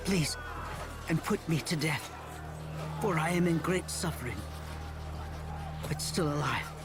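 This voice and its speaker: An actor playing an anxious young battlefield messenger in a dramatised audio Bible, reporting earnestly and haltingly. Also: male voice, a little high. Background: music.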